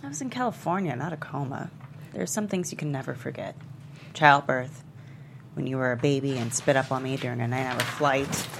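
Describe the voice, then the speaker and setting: Woman as a low, creaky, wry mother. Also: a little fast, background noise. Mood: tender. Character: wry, candid, struggling mother Nora. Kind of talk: table read of a TV pilot script.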